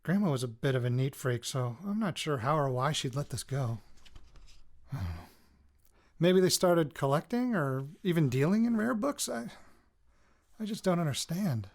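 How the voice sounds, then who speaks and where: An actor playing a weary, jittery male radio reporter recording personal audio notes for a fiction podcast, in a halting, self-interrupting way. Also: fairly high pitch, expressive. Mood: puzzled, reflective.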